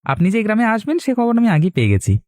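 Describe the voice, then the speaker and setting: A humble young village lad in a cartoon , played by a man. His voice is high for a male and dry, with hesitant, eager, very respectful delivery. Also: huge pitch swings, quick pace, darkish tone, no echo. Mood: deferential, eager. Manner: hesitant, deferential. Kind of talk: Bengali cartoon dialogue.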